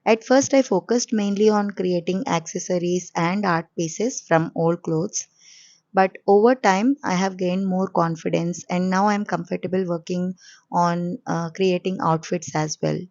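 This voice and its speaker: Hoarse, coarse-voiced woman host; calm step-by-step tutorial narration, slightly low, dry and muffled. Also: measured pace, dry recording. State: hoarse from a cold. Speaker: sewing channel host. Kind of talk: voice-over sewing tutorial.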